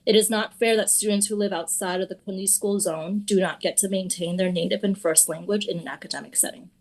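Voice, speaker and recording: A woman in her thirties, a teacher and parent, reading prepared public testimony in a steady, earnest, formal way at a school committee meeting. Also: medium pitch, a little fast. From Boston area.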